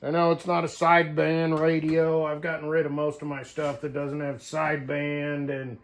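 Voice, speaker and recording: Man, somewhat high-pitched voice, folksy, casual hobbyist vlogger, unscripted, thinking aloud during a hands-on demo. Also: completely flat delivery, moderate pace. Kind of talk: casual hands-on demonstration, talking to viewers.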